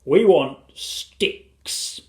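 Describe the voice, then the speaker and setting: Storybook father, shrunk tiny played by a narrator: commanding, indignant, animated pitch, punchy delivery. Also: very slow. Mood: bossy, determined. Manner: loud, emphatic, commanding. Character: tiny man turned winged, bossy indignant father.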